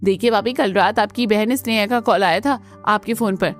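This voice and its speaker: A woman's voice: medium pitch, normal speed, soft background music, natural voice. A woman voicing a protective, spirited young sister-in-law with bold, emphatic delivery in a cartoon family melodrama. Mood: urging.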